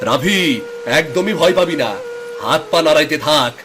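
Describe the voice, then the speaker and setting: A man's voice: on the high side, normal speed, background noise, close to an everyday voice. A man playing a protective village father with a rural Bangladeshi accent in a dramatized moral story. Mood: urgent, reassuring.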